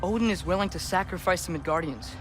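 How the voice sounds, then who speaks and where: A man's voice: high voice, fast talker, background noise, close to an everyday voice. A young actor playing an earnest, anxious teenage boy hero in a video game, hesitant and casual in phrasing, with music behind. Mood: earnest, urgent. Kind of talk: dramatic video game acting.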